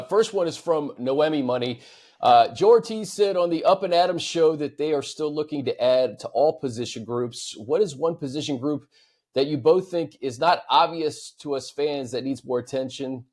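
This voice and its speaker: A man's voice: medium pitch, darkish tone. A man hosting a sports show, talking casually and conversationally about football and handing over questions.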